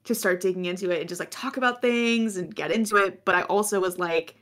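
An American woman in her twenties with a low voice, co-hosting a podcast in casual, rambling chat. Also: quick pace, a bit bright. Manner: chatty, laughing often, rambling. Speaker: podcast co-host.

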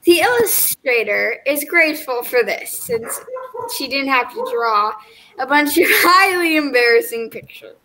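A woman's voice: high voice, lively intonation, measured pace, pushed and bright, slight background noise, low-quality recording. A teenage girl in a drama club reading a picture book aloud over a video call. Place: indoors at home on a video call.

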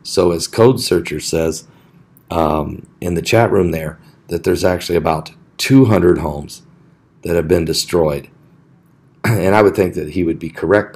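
A man hosting a live online show, giving casual news commentary in a raspy voice that he keeps low, with many hesitant fillers. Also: darkish tone.

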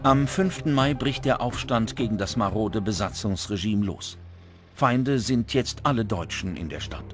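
A man's voice: lowish voice, lively intonation, measured pace. Male documentary narrator over music. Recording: music bed behind the voice.

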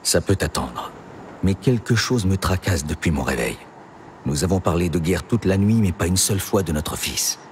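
A man's voice: sits low, expressive, moderate pace, a little mellow, slight background noise. An actor playing a serious male warrior and father who speaks steadily. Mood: grave.

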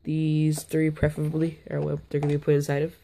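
Young male vlogger, hoarse and a bit sick, gravelly low-key voice, offhand and rambling, phone mic. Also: completely flat delivery, a little fast, mellow, slight background noise. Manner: rambling, self-interrupting, offhand. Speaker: bug-keeping vlogger. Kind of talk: casual hands-on vlog. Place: indoors, on a carpeted floor.

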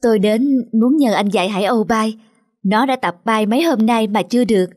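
A woman's voice: fairly high pitch, a little fast, a little mellow, no echo, studio quality, lightly acted. A woman voicing an anxious male cat in a cartoon, an earnest, worried foster parent. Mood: concerned. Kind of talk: cartoon character acting.

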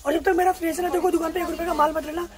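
High-voiced fast-talking shopkeeper man. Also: little pitch movement, a crowd in the background. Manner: emphatic, repeats prices and wholesale-only terms. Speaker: wholesale shopkeeper. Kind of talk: rapid sales pitch listing prices. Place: crowded indoor market shop.

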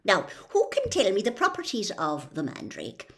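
Woman as a brisk, animated lady professor. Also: medium pitch. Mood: instructive. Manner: teacherly, emphatic. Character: brisk, approving female herbology professor. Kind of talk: dramatized storybook reading.

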